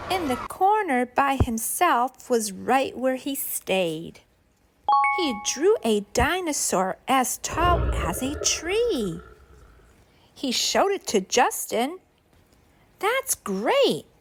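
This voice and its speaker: Lady doing a really slow kids read-aloud, high sing-song voice, some noise on the recording. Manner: expressive, deliberate, sing-song storytelling for small children. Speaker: children's story reader and channel host. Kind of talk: read-aloud of a rhyming picture book for preschoolers.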